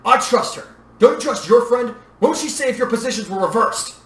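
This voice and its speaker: A man's voice: a little high, lively intonation, fast talker, natural voice. A man voicing an earnest, protective young warrior in a story, plain-spoken and determined. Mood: urgent, shouting. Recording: roomy echo, distant mic, faint noise.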